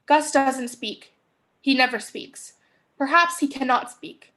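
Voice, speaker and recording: A young woman gives scripted narration of stage directions for a play reading. Her voice sits high as she describes the action. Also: slow pace, pushed and bright. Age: young adult.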